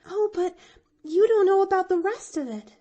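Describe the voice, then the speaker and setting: A woman's voice: very high voice, lively intonation, takes it slowly, darkish tone, highs cut off, voice pushed up, full character voice. A woman reading an audiobook, voicing an earnest little girl who stammers and pleads. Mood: pleading eager. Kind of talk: audiobook character voice.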